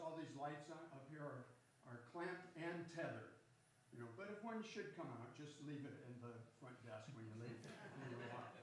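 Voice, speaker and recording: An American man welcoming a theater audience as host, chatting informally in a halting, folksy way. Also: fairly high pitch. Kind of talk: informal pre-show welcome to live audience. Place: small theater studio, speaking to live audience. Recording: echoey theater from far off, background noise.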